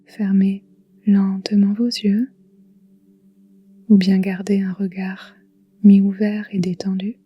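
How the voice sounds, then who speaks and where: A woman guiding a relaxation meditation in a soft, whispery, calm and soothing voice, leaving long pauses. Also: medium pitch, lo-fi sound. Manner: soft, breathy, whispery delivery. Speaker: yoga nidra guide.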